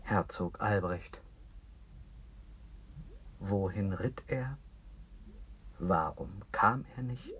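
A man acting an anxious, philosophising character in a German radio play, declamatory and rough-edged. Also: fairly low pitch, extremely slow, long pauses, a bit bright, muffled recording, heavily acted. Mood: weary, brooding.

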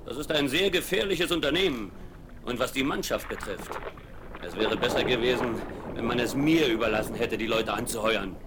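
A man's voice: medium pitch, expressive, moderate pace, natural voice. An actor playing a stern, dutiful ship captain who voices his doubts in a blunt, formal way. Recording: hissy 1970s vinyl radio play.

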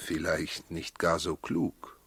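An actor in a 1960s radio play as a calm, weary, cautious husband, quiet and terse. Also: male voice, low voice, takes it slowly, husky, dry recording, heavily acted.

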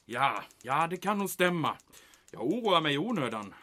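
An anxious German commandant from a comic wartime radio play. His voice is high and forceful, with very animated intonation. He is officious and easily agitated, and slips German words into his Swedish. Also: exaggerated voice. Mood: worried, then reassured. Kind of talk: comic audio drama acting.

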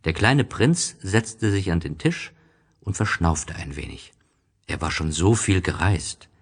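A man narrates a storybook. His voice is fairly low and husky, and he takes his time, with lively rises and falls in pitch. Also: unhurried.

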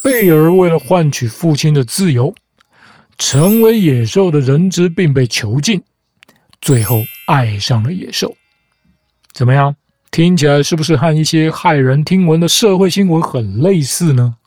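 A man hosting a podcast, calmly narrating a scripted explanation in a measured storytelling manner. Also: fairly high pitch. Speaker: podcast host. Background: background music.

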